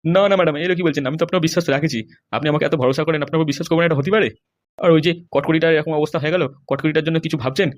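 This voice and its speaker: A woman's voice: deep voice, steady tone, rapid-fire, close to an everyday voice. A woman voicing a fawning, self-seeking political underling in a comedy cartoon. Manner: deferential, wheedling. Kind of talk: amateur comedy cartoon dub.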